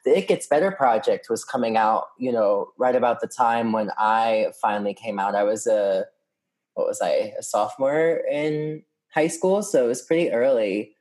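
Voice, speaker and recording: A young man in his twenties talks openly in a relaxed conversation. He laughs often and drops the odd swear word. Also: medium pitch, moderate pace. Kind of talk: casual chat.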